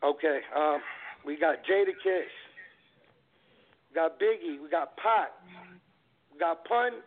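A man's voice: on the high side, slow. Male guest, 40s, casual rambling talk, tinny phone-quality audio. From New York. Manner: laid-back, rambling with many fillers. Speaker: guest rapper. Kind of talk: casual radio interview. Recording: phone-like narrow sound.

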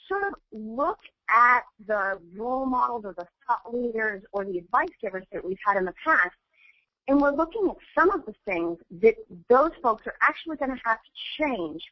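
A woman speaking as a book co-author on a webinar, explaining her points in an articulate, measured way. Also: a little high, dry recording, muffled recording. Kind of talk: webinar discussion.

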